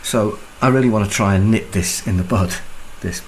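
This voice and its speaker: A man's voice: on the low side, moderate pace, a little hiss or hum, close to an everyday voice, rough recording. An actor playing an anxious, defensive married man in a naturalistic radio thriller, speaking hesitantly. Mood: anxious, explaining. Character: anxious, defensive married man, expectant father and new homeowner.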